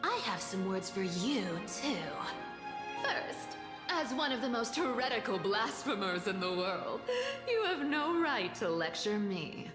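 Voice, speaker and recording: A woman's voice: fairly high pitch, big pitch swings, a little slow. A woman voicing a playful, cunning catgirl trickster in a video game, sly and mocking in a theatrical way. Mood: mock-hurt, scolding. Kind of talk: dramatic video game voice acting. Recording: echoey hall reverb with music.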